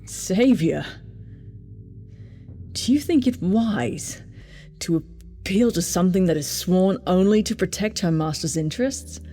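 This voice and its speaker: Radio drama android killer played by a woman: mellow, slow-spoken, grave and guarded, music underneath. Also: lowish voice, animated. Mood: bitter, resigned. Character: defeated female android assassin, bitter and guarded.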